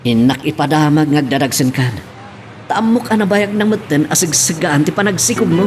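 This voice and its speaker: A man acting a cheerful, excited young husband and expectant father in an Ilocano radio-style drama. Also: on the high side, normal speed, soft background music, close to an everyday voice. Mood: happy, teasing.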